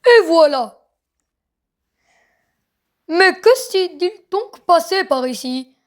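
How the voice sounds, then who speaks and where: A woman's voice: extremely high pitch, animated, slow, exaggerated voice. A woman voicing a theatrical, flustered cartoon ringmaster with a showy, grandiose way of announcing in a fan dub.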